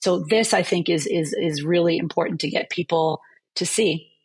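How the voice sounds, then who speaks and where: A woman university professor explains her teaching through lively stories. Her voice sits low and has a slight husk to it. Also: normal speed, slightly rough. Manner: vivid, storytelling explanations. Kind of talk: conversational interview.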